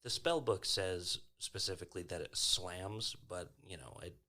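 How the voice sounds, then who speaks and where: A man's voice: low voice, normal speed, pushed and bright. A man chatting casually as a player in an improvised tabletop game.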